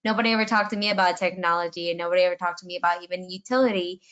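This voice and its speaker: A woman's voice: lowish voice, steady tone, very fast, a bit bright. A middle-aged woman from Colombia, speaking as a webinar panelist about her career path in an earnest, reflective way.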